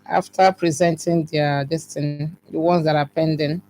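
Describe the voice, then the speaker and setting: An interviewer who asks quick, probing questions and fills the pauses with "okay". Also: medium pitch, flat delivery, a little mellow, a little hiss or hum. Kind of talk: radio-TV interview.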